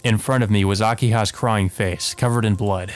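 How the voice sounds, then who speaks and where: Low-voiced man reading a game aloud. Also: steady tone, normal speed. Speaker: let's play host. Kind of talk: reading visual novel narration aloud with casual asides. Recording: music playing behind the voice. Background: game music.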